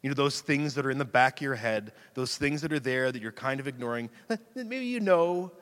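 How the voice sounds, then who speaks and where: A man's voice: medium pitch, animated, fairly quick, fairly bright tone. Man preaching casually in an echoing hall. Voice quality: slightly raspy from screaming into a mic. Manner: chatty, self-deprecating, laughs easily. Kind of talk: casual conversational sermon. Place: church sanctuary. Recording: long hall reverb.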